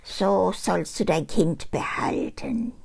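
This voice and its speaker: An older woman reading a fairy tale aloud, voicing a small, sly male goblin who bargains for payment in a high, thin put-on voice. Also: slow pace, gravelly, a little mellow, heavily acted. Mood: sly bargaining.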